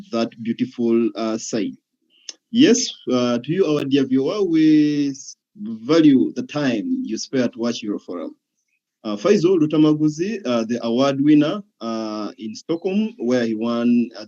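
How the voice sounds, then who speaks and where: A male show host with a mellow, darker voice pauses often as he speaks. The recording sounds somewhat dull and muffled. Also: mid-range voice. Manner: hesitant, many "uh" fillers. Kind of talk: hosting an interview show.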